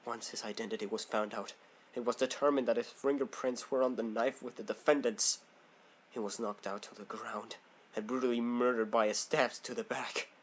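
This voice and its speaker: A man's voice: medium pitch, steady tone, normal speed, slight background noise, natural voice. A man playing a proud, formal prosecutor in an amateur courtroom audio drama. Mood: grave. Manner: formal, declamatory.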